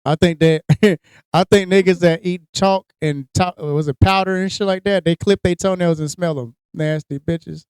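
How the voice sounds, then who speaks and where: A man's voice: high-pitched, normal speed, clean tone, no room sound, clean studio sound. A man co-hosting a podcast, telling crude, joking stories in a casual chat. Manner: crude, joking, animated storytelling. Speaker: podcast co-host.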